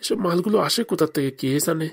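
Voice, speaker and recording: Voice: medium pitch, fast, husky, close to an everyday voice. A performer voicing a stern, sarcastic male headmaster in a comedy cartoon. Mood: exasperated, sarcastic. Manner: sarcastic, scolding interrogator.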